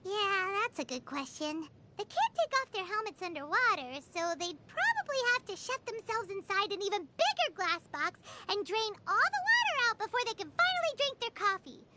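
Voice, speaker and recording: A woman voicing a small, childlike fairy companion in a video game, excitable and naive, with a squeaky voice. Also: moderate pace, few pauses, heavy background noise. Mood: curious, musing. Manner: excitable, exclamatory, refers to herself by name. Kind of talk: video game character dialogue.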